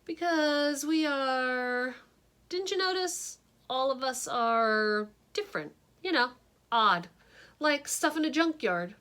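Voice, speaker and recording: A gawky, eager schoolboy chatters in a high, bouncing storybook voice, as a woman puts him on. His pitch leaps around as he points things out, lively and friendly. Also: slow, exaggerated voice. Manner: chatty, excited, raised pitch. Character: gawky eager boy with big glasses.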